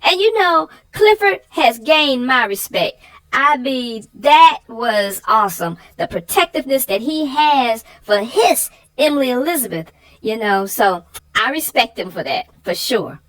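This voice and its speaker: A woman's voice: fairly high pitch, sing-song, measured pace, a bit bright, rough recording. A woman co-hosting a children's story channel, discussing stories in a conversational style.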